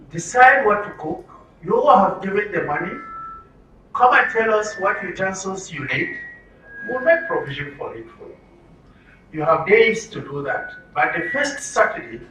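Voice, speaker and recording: A Nigerian man speaks to a workshop at an unhurried pace, in a bright, forceful voice. He teaches through repetition and questions put to the group. The recording is echoey, as if in a room away from the microphone. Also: high-pitched. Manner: instructive, using rhetorical questions and repetition. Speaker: workshop facilitator. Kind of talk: live workshop talk to a group. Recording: roomy, distant mic with some noise.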